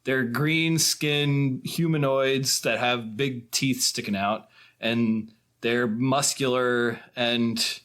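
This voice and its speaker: A man's voice: medium pitch, fairly even intonation, takes it slowly, fairly bright tone. Male film podcast host. Informal, conversational, slangy, tends to ramble. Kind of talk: casual chat about films.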